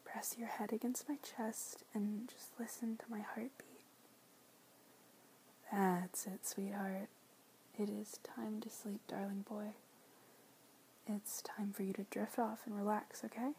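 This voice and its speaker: A woman whispering softly in an ASMR roleplay, soothing and tender, with gentle pet names and quiet repeated reassurances. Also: medium pitch, little pitch movement, a little slow, pauses often, slight background noise, rough recording. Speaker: audio roleplay performer.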